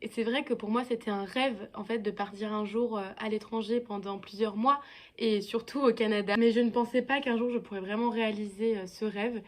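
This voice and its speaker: Earnest young French woman, fairly high voice. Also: steady tone, fairly quick. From France. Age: 20s. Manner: earnest and grateful, with occasional hesitant fillers. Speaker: scholarship-winning student. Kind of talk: recorded thank-you video message.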